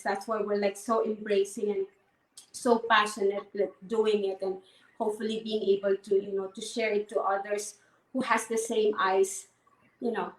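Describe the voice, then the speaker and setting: A woman guest giving an earnest, casual testimonial in an interview. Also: mid-range voice. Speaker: interview guest, youth coordinator. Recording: echoey room.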